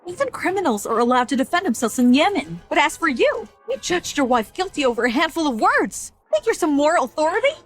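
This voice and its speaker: A woman's voice: medium pitch, animated, moderate pace, darkish tone, faint music behind, natural voice. An actress playing an imperious mother-in-law in a dubbed period drama, haughty, commanding and scolding. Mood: indignant. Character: imperious noble mother-in-law, a scolding, sharp-tongued matriarch.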